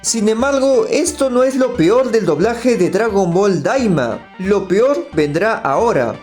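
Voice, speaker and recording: High-pitched man critiquing. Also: animated, a little slow. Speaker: video critic. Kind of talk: scripted critical commentary. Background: faint music.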